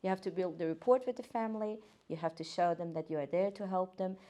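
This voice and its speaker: A woman's voice: lowish voice, fast talker, warm tone. A woman, a guest occupational therapist talking earnestly, with hesitant fillers and restarts. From Iran. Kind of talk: casual podcast interview.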